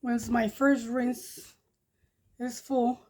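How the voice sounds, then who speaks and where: A woman's voice: a little high, little pitch movement, extremely slow, darkish tone. A woman in her forties from Mexico with a Spanish accent, chatting casually and rambling as she washes dishes in her home kitchen, recorded on a nearby phone. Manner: rambling, restarts sentences, chuckles often. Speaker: homestead vlogger. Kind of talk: casual chat while doing chores. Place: home kitchen by a wood stove. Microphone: phone camera propped nearby.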